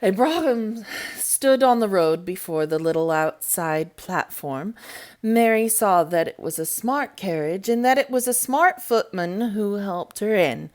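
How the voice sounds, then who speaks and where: A woman reading a classic novel aloud as storybook narration, in a deliberate, measured manner. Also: mid-range voice, expressive. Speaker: storybook reader. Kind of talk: read-aloud audiobook narration.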